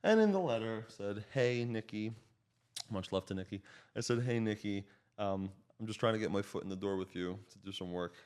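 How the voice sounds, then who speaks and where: A man's voice: fairly low pitch, moderate pace, darkish tone, clear, resonant tone. Man with a slight Slovak accent, storytelling. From child of Slovak parents. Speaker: podcast guest, real estate agent. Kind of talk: casual animated storytelling.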